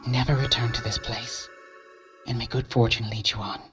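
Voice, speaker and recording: A man voicing a gentle male wind sprite familiar, speaking in a soft, breathy whisper. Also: medium pitch, fairly even intonation, normal speed, music in the background, voice pushed up, natural voice. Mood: solemn.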